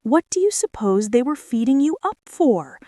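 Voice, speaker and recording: A woman's voice: high voice, big pitch swings, moderate pace, dark tone, no echo, studio quality. A woman voicing a sly, brusque ship's cat who gives bossy instructions in a children's storybook. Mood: brisk, scolding.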